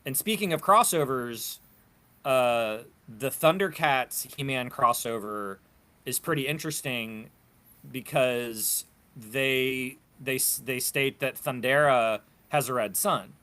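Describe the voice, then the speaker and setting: Bright-voiced man in halting fan chat. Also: medium pitch. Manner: halting, repeating words mid-thought. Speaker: panelist. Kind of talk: casual fan discussion of toys and comics.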